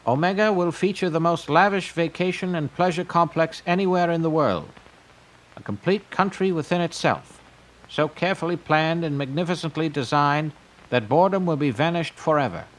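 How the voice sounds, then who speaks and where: A man playing a grandiose, eccentric tycoon pitching his pleasure empire in a declamatory audio drama monologue. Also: moderate pace, lightly acted, rough recording.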